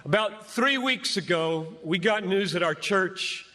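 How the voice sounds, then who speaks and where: A man preaching an impassioned sermon to a crowd as a pastor, speaking emphatically and deliberately with dramatic pauses between short phrases. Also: high-pitched, expressive, sharp tone. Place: large gathering before a crowd. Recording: echoey large venue, long reverb.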